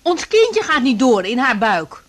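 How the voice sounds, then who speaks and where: A woman's voice: a little high, big pitch swings, measured pace. An actress playing a blunt, emotional young woman doctor who is hot-tempered and hurt, in a naturalistic radio drama. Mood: bitter, angry.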